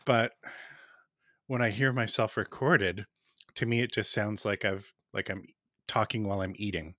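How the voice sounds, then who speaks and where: Podcast host, man, smooth tone, bright and forward, talks in rambling asides with little laughs, dry close sound. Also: fairly low pitch, fairly even intonation, normal speed, no echo. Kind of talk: casual chat.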